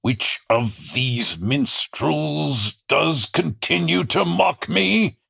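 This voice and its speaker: A wicked, boastful sheriff from a medieval radio-style drama. The voice is rough and husky, taking its time over archaic, theatrical lines. The pitch swings widely as pomposity turns to temper. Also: male voice, expressive, extremely slow, pushed and bright, full character voice. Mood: gloating menace. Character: villainous, boastful medieval English sheriff, easily angered. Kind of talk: audio drama acting in archaic English.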